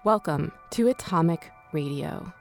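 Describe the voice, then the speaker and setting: A woman's voice: low-pitched, expressive, unhurried, darkish tone, soft background music. A woman hosting a radio documentary, reading scripted narration. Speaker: host.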